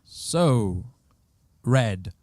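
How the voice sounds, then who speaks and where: A man's voice: mid-range voice, animated, extremely slow, a little mellow, no room sound, studio quality. A man acting a dutiful, exasperated police detective in a comic radio play, formal and insistent with some hesitation. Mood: probing. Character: dutiful, exasperated police detective questioning fairy-tale witnesses.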